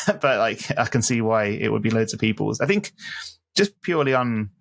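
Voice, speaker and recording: A man co-hosting a gaming podcast, chatting casually and reading listener questions out slowly. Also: fairly low pitch, very animated, creaky, fairly bright tone. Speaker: podcast co-host.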